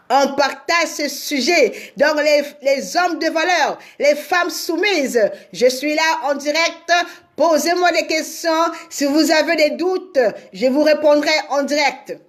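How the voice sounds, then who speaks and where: A woman marriage counselor on a live stream, talking with a sore throat and a stuffy cold, slow and emphatic, often repeating words. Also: high voice. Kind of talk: live-streamed advice talk.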